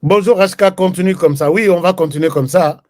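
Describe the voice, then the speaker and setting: A man's voice: sits high, a little fast, a little mellow, dry recording, studio quality. Chatty man hosting a show. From DR Congo. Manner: chatty, switches between French and Lingala, reads viewer comments. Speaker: host. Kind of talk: live political commentary show.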